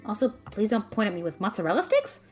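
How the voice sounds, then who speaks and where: A woman playing a sharp-tongued, responsible female student mage in an audio drama, blunt and sardonic. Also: mid-range voice, sing-song, fast talker, soft background music, close to an everyday voice. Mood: exasperated.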